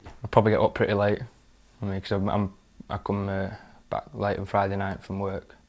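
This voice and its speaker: A young man talking casually as a fan, relaxed and hesitant with fillers. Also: low voice, a little fast. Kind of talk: casual interview.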